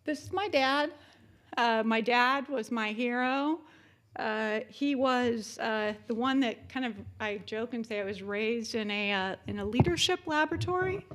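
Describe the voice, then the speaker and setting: A woman, giving a conference keynote in a chatty way with many fillers. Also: on the high side. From born in Japan, military family. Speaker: keynote speaker.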